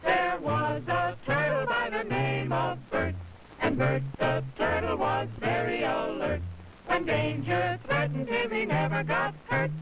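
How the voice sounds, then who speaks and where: Casting: high-pitched jingle singer, very wide melodic range, 1950s cartoon style, dull old soundtrack with loud swing music. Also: huge pitch swings, a little slow, recorded from a distance.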